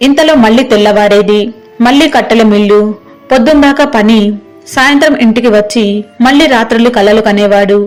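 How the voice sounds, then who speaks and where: A woman's voice: on the high side, fairly quick, soft background music. A woman narrating a scripted moral story as a storyteller.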